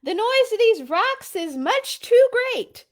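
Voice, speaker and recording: A woman's voice: very high voice, very animated, slow, voice pushed up, full character voice. A woman voicing a blustery, boastful papa bear scout guide in a storybook, exclaiming with overconfidence. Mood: bellowing. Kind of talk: animated storybook read-aloud.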